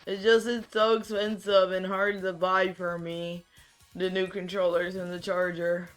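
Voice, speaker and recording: Tired, raspy, monotone streamer. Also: measured pace, faint music behind, low-quality recording. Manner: soft, low-key and rambling, with frequent restarts. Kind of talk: casual chat while gaming on stream.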